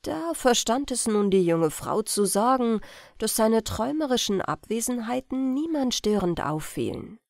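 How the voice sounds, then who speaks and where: A woman reading an old-fashioned family tale as an audiobook narrator, in carefully phrased, expressive storytelling. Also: a little high, normal speed, no room sound. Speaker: audiobook reader.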